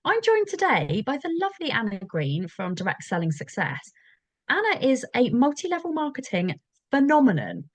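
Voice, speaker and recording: A woman's voice: sits high, huge pitch swings, moderate pace, no echo. A woman hosting a podcast interview, chatty and bubbly, laughing often. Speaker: podcast host. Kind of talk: casual interview chat.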